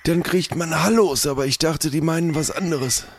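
A man's voice: a little high, moderate pace. A man improvising in an audio play with a dry, punning manner. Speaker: player in an improvised adventure. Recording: faint background ambient noise.

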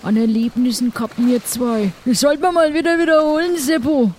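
A woman's voice: sits high, fairly even intonation, brisk, a little mellow, slight background noise, close to an everyday voice. A woman with a southern German accent playing a folksy, chummy pirate crew mate in improvised role-play. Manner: folksy, chatty.

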